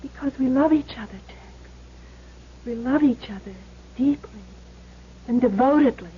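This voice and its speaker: An actress playing a devoted, emotional gambler's sweetheart in an old-time radio drama, earnest and emphatic. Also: female voice, sits high, moderate pace, slight background noise, lightly acted. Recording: tinny, narrow-band 1970s radio recording.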